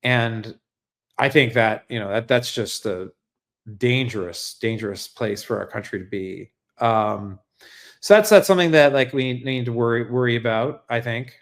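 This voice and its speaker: An American man hosting an unscripted livestream monologue, speaking earnestly and reflectively in a halting, searching way, often repeating words and checking in with "right?". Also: medium pitch. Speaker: livestream host and essayist.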